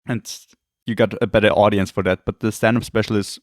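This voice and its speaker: A slightly hoarse man co-hosting a podcast, talking in a rambling, hesitant way and often correcting himself. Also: fairly low pitch, little pitch movement, brisk, no room sound, clean studio sound. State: losing his voice, throat clearing. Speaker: podcast co-host. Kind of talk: casual chat.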